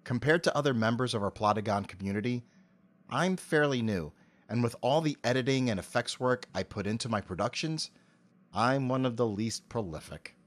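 A middle-aged man with an articulate, measured delivery, giving prepared interview answers into a close cardioid mic. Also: medium pitch, lively intonation. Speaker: interview guest, amateur voice actor. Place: home office. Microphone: cardioid dynamic mic with pop filter, on a stand.

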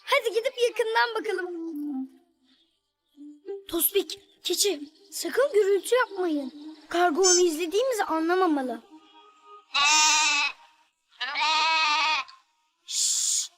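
A woman's voice: very high voice, measured pace, long pauses, soft background music. A woman voicing a cheerful, curious little girl in a children's cartoon, talking expressively to her animal friends. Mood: eager, playful. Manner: expressive, talks aloud to her pets.

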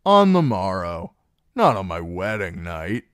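A man's voice: low voice, sing-song, dry recording, clean studio sound. A man voicing a boisterous, drunken young singer in an audiobook, cocky and mocking, with a swaggering drawl. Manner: loud, swaggering, slow drawn-out delivery. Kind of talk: audiobook character voice.

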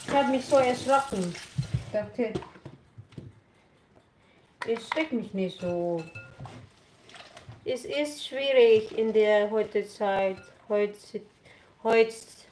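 Slow-talking Filipina woman in her late thirties. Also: medium pitch, a little mellow, a little hiss or hum. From Philippines. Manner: chatty, simple German with English mixed in, while cooking. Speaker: vlogger. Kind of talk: casual livestream chat with viewers. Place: home kitchen, indoors. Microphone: camera on the counter. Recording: echoey kitchen with running water. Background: water and kitchen clatter.

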